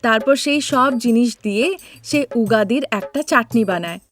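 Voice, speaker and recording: A woman's voice: fairly high pitch, expressive, normal speed. A woman narrator reading scripted storybook narration for a cartoon tale.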